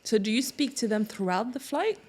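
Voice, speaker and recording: A woman's voice: on the high side, sing-song, brisk, darkish tone. A woman hosting a podcast, interviewing in a casual, conversational style.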